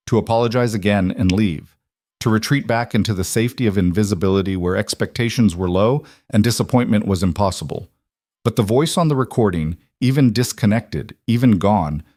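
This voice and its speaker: A man reading a dramatic story as its narrator, in a low, steady voice. The recording is dry and close, with no room around it. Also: moderate pace, no room sound.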